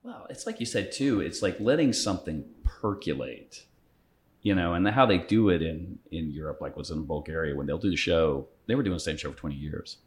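A man with a very low, deep voice hosts a laid-back conversation. He rambles a little, circling his thoughts with easy filler before landing the point. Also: a little fast. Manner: relaxed, rambling, thinks aloud with "you know" and "it's like". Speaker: podcast host. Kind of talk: casual conversational interview.

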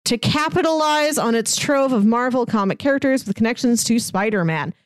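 A woman's voice: on the high side, a little fast, smooth, no room sound. A woman co-hosting a podcast, chatting casually about pop culture with wry, bantering humor. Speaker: podcast co-host.

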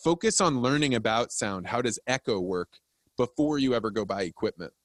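A man hosts a casual podcast in a medium-pitched voice. He explains things in a conversational, advice-giving way. The sound is dry, with very little room on it. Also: expressive, fairly quick, no room sound. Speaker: podcast host.

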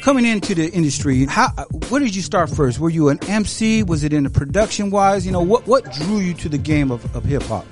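A man co-hosting an internet radio show, interviewing a guest in a fast, casual, hyped-up way. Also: high-pitched, animated, music in the background. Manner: fast, hype, repeats welcomes.

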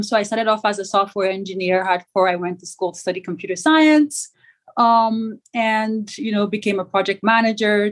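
Nigerian-raised woman founder, conversational. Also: medium pitch. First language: Yoruba. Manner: conversational, many fillers and restarts. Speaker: startup founder, guest. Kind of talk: casual interview answers.

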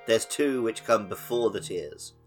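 A man's voice: fairly low pitch, animated, unhurried, soft background music. A man co-hosting a podcast, explaining things informally in casual video game chat. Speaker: podcast co-host.

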